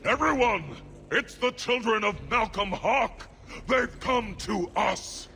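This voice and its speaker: An actor voicing a crazed, fanatical dwarf cultist in a video game, ranting fervently in a gravelly voice. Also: male voice, sits high, expressive, slow, bright, forceful tone, big-room sound, a little hiss or hum, full character voice. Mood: fanatical excitement. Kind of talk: dramatic video game acting.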